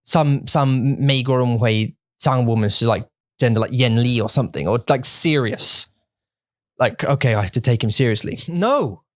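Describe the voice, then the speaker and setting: A man's voice: mid-range voice, normal speed, no room sound, studio quality. A man with an English accent co-hosting a podcast, chatting casually with sarcastic banter. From England. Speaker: podcast co-host. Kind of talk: casual bilingual chat.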